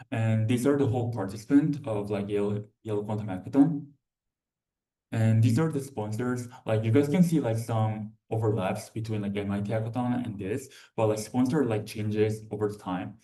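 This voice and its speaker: Young male student presenter, dark low voice, hesitant and filler-heavy, picked up from a distance. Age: young adult. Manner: halting, repetitive, heavy use of "like". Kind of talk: informal conference presentation. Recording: distant microphone.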